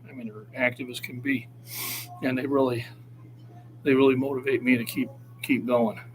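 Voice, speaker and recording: A man's voice: mid-range voice, flat delivery, moderate pace, a little hiss or hum, rough recording. A man in his sixties, a fitness coach talking casually on a livestream and urging viewers to keep active. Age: 60s. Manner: encouraging, motivational.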